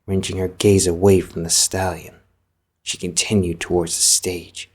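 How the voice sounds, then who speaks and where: A man's voice: sits low, flat delivery, normal speed, breathy edge. A man narrating a scripted story as a storyteller. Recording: music behind the voice.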